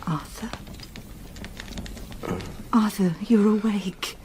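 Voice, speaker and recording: An actress playing a devoted young English fiancée, tender and anxious yet resolute, with formal diction in a period radio drama. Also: female voice, medium pitch, extremely slow, dark tone, a little hiss or hum. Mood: worried, tender.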